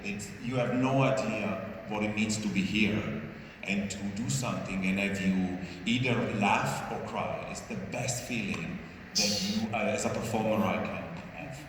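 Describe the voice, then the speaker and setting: A man from Italy, an opera singer speaking on a panel, animated and searching for words. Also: lowish voice, moderate pace. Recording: echoey hall from far off with noise.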